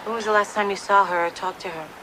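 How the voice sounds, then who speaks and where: A woman playing a brisk, shrewd police detective in a crime audio drama, dry and matter-of-fact. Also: medium pitch, steady tone, background noise, natural voice. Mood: dry, questioning.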